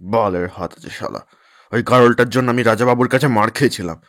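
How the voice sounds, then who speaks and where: A man's voice: mid-range voice, brisk, fairly bright tone, natural voice. A man playing a resentful, foul-mouthed thug in an audio drama, grumbling and coarse. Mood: bitter, resentful.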